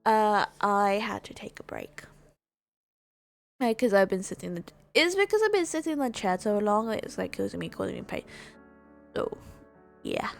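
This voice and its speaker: A woman's voice: medium pitch, very animated, moderate pace, creaky, long pauses, soft background music. A woman livestreamer chatting casually in a hesitant, rambling way, often repeating and rephrasing herself. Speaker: livestreamer and gamer.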